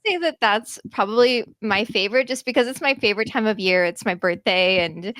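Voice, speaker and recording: Giggly, soft-spoken woman, dry close sound. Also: medium pitch, brisk, no room sound. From Hawaii. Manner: warm, laughs often, gentle. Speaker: guest, healer. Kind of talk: casual interview chat. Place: home, indoors.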